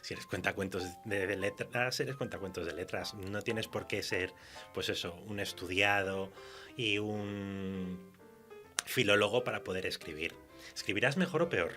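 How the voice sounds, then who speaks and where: A man with an accent from Spain chatting casually as a guest writer in a radio interview, telling stories in an animated, self-mocking way. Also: fairly low pitch, moderate pace, faint music behind. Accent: Spanish from Spain.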